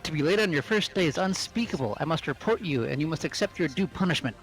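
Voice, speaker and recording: A man's voice: fairly high pitch, animated, fast, rough recording. A man voicing a stern, pompous, rule-bound student in a game, formal and officious, with music behind. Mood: indignant.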